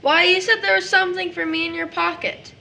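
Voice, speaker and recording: A woman's voice: extremely high pitch, flat delivery, brisk, vocal fry, bright, forceful tone, full character voice. A woman playing a chatty, polite small boy in an audio drama, full of innocent questions and eager insistence. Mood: curious, insistent.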